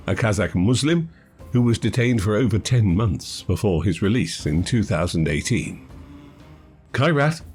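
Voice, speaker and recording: A male narrator delivers scripted documentary voice-over. His voice sits a little low, and his delivery is measured and formal. Music plays faintly behind him. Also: a little mellow. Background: background music.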